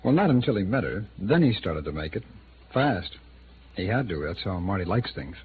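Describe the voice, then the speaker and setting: A noisy, narrow-band old radio recording of a worldly American male attorney. His lowish voice is wry, hesitant and evasive, with lively intonation. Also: moderate pace. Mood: wry, knowing. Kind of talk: 1950s radio drama acting.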